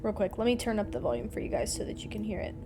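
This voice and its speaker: A woman's voice: mid-range voice, very animated, racing. A woman streamer giving a casual game playthrough, commenting and reading game text aloud. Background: music.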